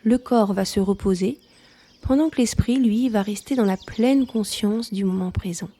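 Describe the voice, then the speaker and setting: A woman leading a guided yoga nidra relaxation, speaking softly in a calm, soothing manner. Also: medium pitch, moderate pace.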